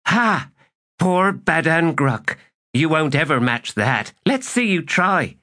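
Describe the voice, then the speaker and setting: A cocky soldier woman voiced by a male narrator, pitched well up and swinging freely as she teases. She is glib and taunting, a character out of a fantasy audiobook. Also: big pitch swings, unhurried, a bit bright, exaggerated voice. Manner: teasing, glib. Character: cocky, taunting adult female soldier at a dice game.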